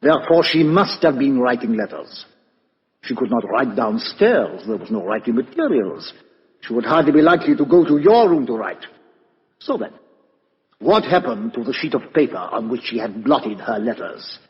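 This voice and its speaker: An actor playing a shrewd, courteous and methodical foreign detective in a 1950s radio play, speaking deliberately with dramatic rhetorical questions. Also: male voice, mid-range voice, sing-song. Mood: insistent, reasoning. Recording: muffled vintage radio broadcast, hall reverb.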